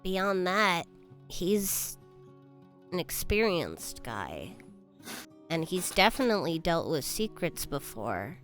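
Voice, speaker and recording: Low-voiced woman talking slowly. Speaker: tabletop game player. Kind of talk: reasoning aloud in roleplay discussion.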